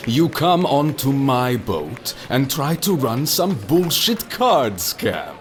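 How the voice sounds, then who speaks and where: Urbane menacing captain, deliberate theatrical delivery, audio drama, mid-pitched, faint music behind. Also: male voice, very animated. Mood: cold menace. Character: suave, menacing male captain of a secret pleasure ship. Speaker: ship captain character.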